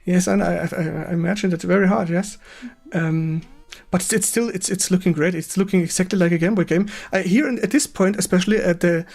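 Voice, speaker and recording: A man with a German accent hosting a casual gaming stream interview in English, speaking haltingly and repeating words with effusive enthusiasm. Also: high voice, steady tone, vocal fry, darkish tone. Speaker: host of a retro gaming stream.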